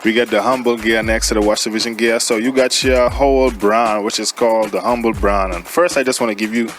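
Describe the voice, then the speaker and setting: A man hosting a casual interview, slangy and full of hype and shout-outs for his guest. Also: fairly low pitch, steady tone, fairly quick. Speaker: radio show host interviewer. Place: studio. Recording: music playing behind the voice. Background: music.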